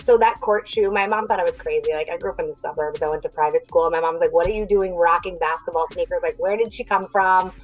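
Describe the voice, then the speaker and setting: A woman's voice: lowish voice, fast talker, few pauses, rough recording. A young woman from New Jersey, a podcast guest chatting casually and upbeat, acting out little quotes as she talks. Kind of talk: casual chat.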